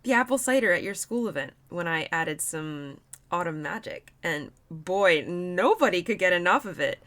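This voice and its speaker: A woman voicing a young female spirit of autumn in an intimate audio roleplay, caring and expressive, playful and easily excited. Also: fairly low pitch, moderate pace. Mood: fond, cheerful. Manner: expressive, bubbly, giggly, naive about humans.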